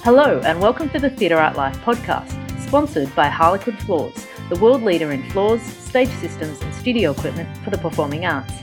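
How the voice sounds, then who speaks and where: A woman's voice: lowish voice, very animated, normal speed, hardly any pauses. A woman reading a scripted podcast introduction as host. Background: clear music.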